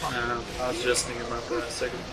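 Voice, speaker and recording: A man's voice: medium pitch, monotone, rapid-fire, a crowd in the background. Young man ranting over a video game. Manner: swears a lot, flares into frustrated rants. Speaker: let's play commentator. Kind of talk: casual gaming chat.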